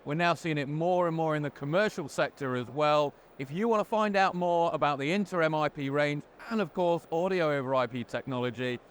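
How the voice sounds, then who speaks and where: A man presenting a product explainer interview, talking in a measured, explanatory way on a trade show floor. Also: fairly high pitch. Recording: faint background noise. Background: faint ambient noise.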